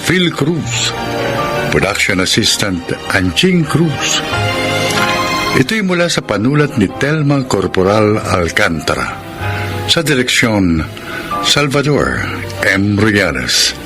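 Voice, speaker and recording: A man's voice: fairly low pitch, sing-song, takes it slowly, long pauses. A man with a Filipino accent announcing a radio drama in a scripted style. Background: loud music.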